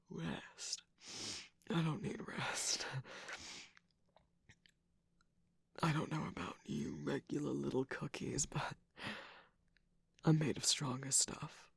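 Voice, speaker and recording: Performer acting out smug weary trickster villain, very husky and whispery, slow teasing drawl, mock-grand, close dry sound, ASMR game-character roleplay. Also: mid-range voice, steady tone, fairly bright tone, dry recording, heavily acted. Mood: weary, defensive. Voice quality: breathy, husky, creaky, often dropping into whisper.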